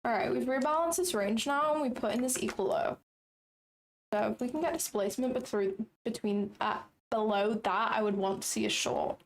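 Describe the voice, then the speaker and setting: Raspy-voiced young woman thinking aloud. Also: fairly high pitch, normal speed, slight background noise. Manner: chatty, thinks aloud, self-mocking. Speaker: trading livestream host. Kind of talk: casual live commentary while trading.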